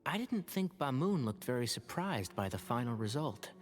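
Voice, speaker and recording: A woman's voice: a bass voice, very animated, moderate pace. A woman voicing a thoughtful young male scholar in a video game, reflective and articulate. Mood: musing. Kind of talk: scripted video game dialogue. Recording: music behind the voice.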